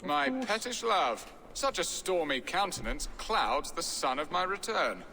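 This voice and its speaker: An actor playing a jovial, middle-aged English lord in a video game, with florid, theatrical wordplay. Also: male voice, high voice, big pitch swings, unhurried, vocal fry, sharp tone, slight background noise, exaggerated voice. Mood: wry. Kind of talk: dramatic video game acting. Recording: long hall reverb, music underneath.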